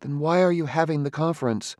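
A man's voice: fairly high pitch, a little fast, dry recording, studio quality, voice pushed up, natural voice. A man voicing an earnest, wounded, idealistic woman who pleads insistently in an audiobook novel. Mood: urgent pleading. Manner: earnest, insistent, breaking into hushed speech.

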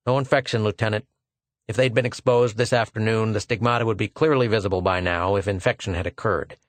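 A man reading a brisk, matter-of-fact army medic. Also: fairly low pitch, steady tone, dry recording, natural voice. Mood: businesslike.

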